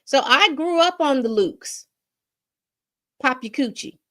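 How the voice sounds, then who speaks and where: Woman in her 50s doing a commentary rant, kinda high voice, repeats herself a lot, keeps restarting lines. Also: expressive, slow pace, fairly bright tone. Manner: emphatic, repetitive listing, frequent restarts. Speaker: commentary host. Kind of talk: casual commentary monologue.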